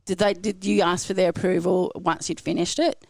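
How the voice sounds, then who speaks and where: A radio host interviewing a guest in casual chat, chatty and quick to laugh. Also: medium pitch, little pitch movement, creaky, no echo. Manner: chatty, frequent backchannel and laughter.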